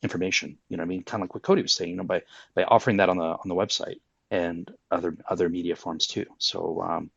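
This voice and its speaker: A man's voice: sits low, fairly even intonation, fast, vocal fry, breathy edge, no room sound. A man talking informally and a little hesitantly as host of a casual online group discussion. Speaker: host of a crypto audio discussion. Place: live online audio room, close and dry. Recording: slightly dull online audio, dry.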